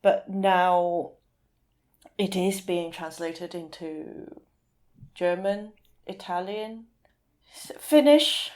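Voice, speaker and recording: A woman's voice: lowish voice, extremely slow, long pauses, darkish tone. A woman speaking as a guest in a casual podcast interview, in an informal way. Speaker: podcast guest, literary translator.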